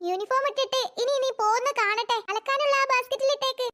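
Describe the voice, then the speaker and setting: A performer voicing a stern, nagging cartoon mother who scolds children, in a pitched-up voice. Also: fast, pushed and bright. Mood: bossy. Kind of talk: cartoon voice acting.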